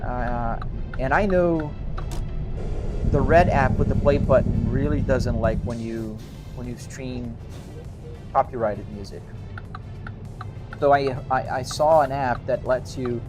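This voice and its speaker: A man's voice: mid-range voice, slow pace, pauses often. A man from Brazil with a Brazilian accent, native in Portuguese, chatting casually with viewers as he streams a driving game. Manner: conversational, thanking and answering chat between game remarks. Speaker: live streamer. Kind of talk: casual live stream chat while playing a driving simulator. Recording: loud music behind the voice. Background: music playlist and truck game vehicle sounds.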